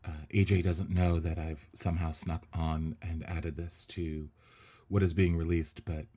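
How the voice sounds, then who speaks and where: A man with a fairly low voice speaks as a podcast co-host. His delivery is casual and conversational, full of effusive thanks. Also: mellow. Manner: effusive, grateful. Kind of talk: casual chat.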